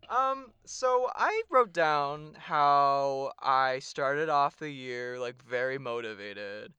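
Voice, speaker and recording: Young male podcast co-host, bright and smooth, chatty and casually profane. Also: mid-range voice, expressive, drawn-out words, dry recording. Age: young adult. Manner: chatty, laughs often, swears casually. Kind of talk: casual chat. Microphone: sits some way back from the mic.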